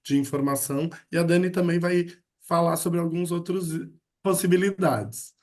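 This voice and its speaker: A man hosting a business webinar over a video call, relaying audience questions in a relaxed way. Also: fairly high pitch, normal speed. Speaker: webinar host. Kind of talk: moderating a webinar.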